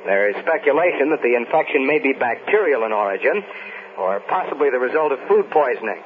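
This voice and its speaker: An actor playing a serious field reporter giving a formal, deliberate 1970s radio news report. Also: male voice, medium pitch, animated, close to an everyday voice. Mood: grave, factual. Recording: tinny, noisy phone-like radio line.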